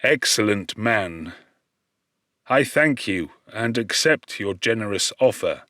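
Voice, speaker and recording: Man acting a slow-spoken, lonely outcast creature. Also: lowish voice, expressive, sharp tone, dry recording, clean studio sound, full character voice. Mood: grateful. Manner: formal, earnest, pleading. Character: lonely outcast male creature, humble, anxious, eloquent. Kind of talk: audiobook character voice.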